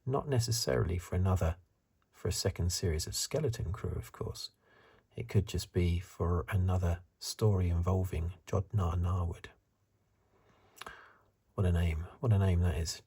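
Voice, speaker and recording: Breathy, low-voiced man, soft ASMR. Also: measured pace, pauses often. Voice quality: breathy, husky. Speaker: ASMR reviewer. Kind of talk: soft-spoken whispered ASMR commentary.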